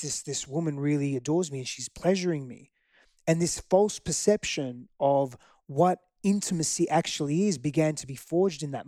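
A man's voice: fairly high pitch, mellow, no echo, studio quality. A middle-aged man from Greece, whose first language is Greek, speaking candidly and earnestly about his past as an interview guest. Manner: reflective, earnest, frequent restarts. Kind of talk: candid personal storytelling.

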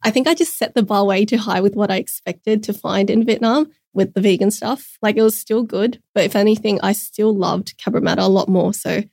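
A woman's voice: mid-range voice, fairly even intonation, fairly quick, creaky, hardly any pauses, darkish tone, slightly rough, no echo. Upbeat young woman, dry sound. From Australia. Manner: chatty, upbeat, many "like" fillers. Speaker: podcast guest. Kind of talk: casual interview chat.

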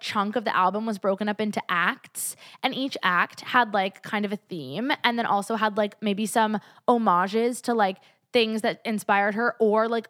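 A young woman hosting a casual solo podcast, stuffy from a cold, talking in a chatty, gushing way. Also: medium pitch. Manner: chatty and gushing, heavy use of "like". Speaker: podcast host.

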